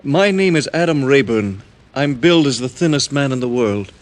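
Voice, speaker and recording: A skinny, towering carnival man speaks with mild, earnest courtesy , played by a male radio actor. His medium-pitched voice is formal and lovelorn, in the manner of old radio drama. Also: animated, normal speed, natural voice. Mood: politely formal. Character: very tall, thin, polite, lovelorn male carnival performer.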